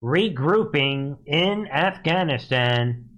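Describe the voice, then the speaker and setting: A man's voice: fairly high pitch, expressive, slow pace, a bit bright, dull audio. Scornful, emphatic man ranting live. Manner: emphatic, repeats phrases for effect; scornful, mocking. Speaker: live news commentary host. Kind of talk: live political rant and commentary.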